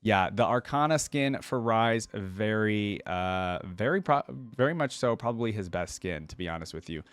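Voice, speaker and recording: A man's voice: lowish voice, expressive, normal speed, smooth, no echo. A man hosting a reaction video, commenting casually and thinking aloud in an informal way.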